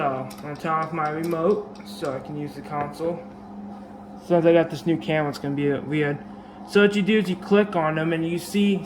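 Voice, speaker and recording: A man with a sore, dry throat and a slightly raspy voice, explaining a game trick in a casual, rambling way full of fillers and restarts, recorded on a camera mic. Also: on the high side. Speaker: gaming video creator. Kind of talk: casual game tutorial commentary. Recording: echoey room with background noise.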